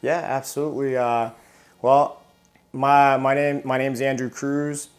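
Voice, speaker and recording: A man's voice: mid-range voice, level tone, measured pace, soft background music. A man in his thirties from Southern California, a retired Marine telling his life story in a casual interview, often restarting and repeating words.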